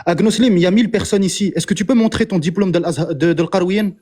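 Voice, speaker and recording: A man's voice: high-pitched, fast, darkish tone, breathy edge. A man cutting into an online debate, dismissive and interrupting, demanding to speak. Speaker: debate participant.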